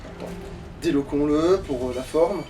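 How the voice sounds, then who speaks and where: Male gamer, high voice, griping and swearing in loose sarcastic commentary, music underneath. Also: moderate pace, mellow. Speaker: let's player, playing the game. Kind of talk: casual gaming commentary with a friend. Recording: distant mic, game music behind.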